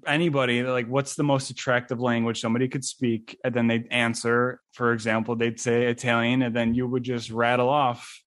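A man's voice: medium pitch, little pitch movement, normal speed, few pauses, a bit bright, no echo, studio quality. A man from western New York hosting a casual interview, asking questions with lots of fillers and restarts. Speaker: podcast host.

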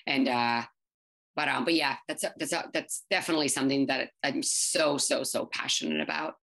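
A woman in her thirties, English not her first language, giving quick, chatty interview answers full of fillers and restarts. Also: very deep voice, steady tone, vocal fry, fairly bright tone. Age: 30s. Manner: fast, filler-heavy, many restarts. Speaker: startup founder.

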